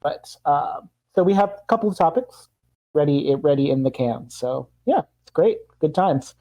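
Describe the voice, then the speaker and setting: A man's voice: on the high side, expressive, normal speed, warm tone, low-quality recording. A man, a player on the stream, chatting in an upbeat, witty way about his plans. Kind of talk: casual chat.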